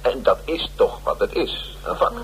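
An actor playing a pompous, self-pitying older theatre director in a radio drama, speechifying. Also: male voice, mid-range voice, sing-song, normal speed, slight background noise, natural voice, rough recording. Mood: grandly earnest.

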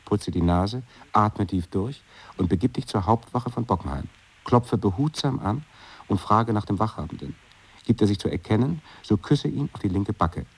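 An actor in an experimental radio play, speaking in a subdued, muttered, trembling way. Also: male voice, lowish voice, a little mellow, breathy edge.

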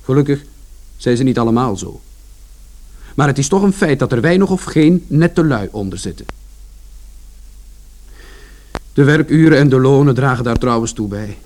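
A man's voice: mid-range voice, animated, brisk, a little mellow, lightly acted. An actor playing an indignant, long-winded man who explains his side of events as narrator of a radio play. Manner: aggrieved, explanatory, colloquial.

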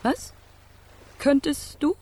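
A woman's voice: slow, warm tone, slight background noise, lightly acted. A woman playing a feisty, scornful would-be ship's stoker in a children's radio play, blunt and grumbling, with dramatic exclamations. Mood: puzzled.

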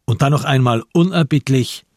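A man narrating a radio drama from a script, in a measured literary storytelling manner. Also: medium pitch, darkish tone, no echo, clean studio sound.

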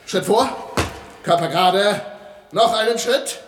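A man's voice: high-pitched, a little slow. A man acting a boastful, hot-tempered fencing master in an old German radio comedy, with a pompous, commanding delivery. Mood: brisk, commanding. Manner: barking clipped commands, pompous. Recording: roomy, noisy old radio recording.

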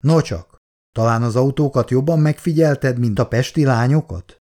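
A man's voice: medium pitch, dark tone, close to an everyday voice. Man reading a teasing friendly young man.

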